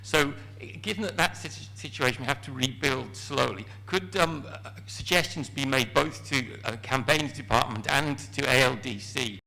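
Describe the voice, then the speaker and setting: A man in the audience asking a question in a halting way. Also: medium pitch, gravelly, sharp tone, poor audio. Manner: halting, with fillers. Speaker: audience member.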